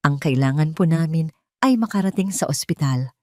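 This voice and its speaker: Distressed, dignified mother for audio drama, played by a woman; restrained pleading, low register, dry recording. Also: expressive, moderate pace, dark tone, no echo. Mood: firm, proud. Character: distressed, dignified poor young mother, pleading yet firm.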